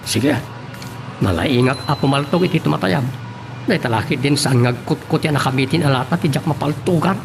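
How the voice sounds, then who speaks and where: A man's voice: mid-range voice, brisk, vocal fry, over music, close to an everyday voice. A man playing a hesitant, protesting friend in an Ilocano audio drama. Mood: doubtful. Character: hesitant, doubtful novice with guns, protesting to his buddy.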